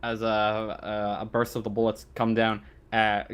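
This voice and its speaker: A man running a tabletop game as game master, narrating casually and haltingly, often repeating words as he thinks. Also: fairly low pitch, completely flat delivery, fairly bright tone, clean tone.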